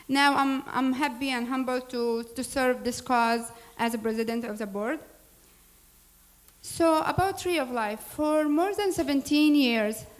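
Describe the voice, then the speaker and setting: A woman from Syria, speaking earnestly as a board president introducing a program, with small grammar slips. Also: high-pitched. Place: church hall.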